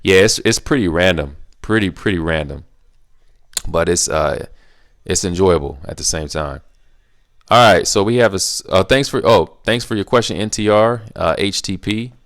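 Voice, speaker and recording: Casual male host, very low voice, loose rambling delivery with lots of restarts. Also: moderate pace, dry recording, clean studio sound. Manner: rambling, repeats himself, restarts often; laid-back, playful teasing. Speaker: YouTube Q&A host. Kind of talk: casual solo Q&A monologue.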